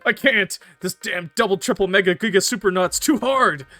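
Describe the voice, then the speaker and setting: A man's voice: high-pitched, lively intonation, moderate pace, bright, forceful tone, faint music behind. A man voicing a hapless, easily scared male robber, whining and pleading in a flustered way in an audio roleplay. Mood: panicked, whiny.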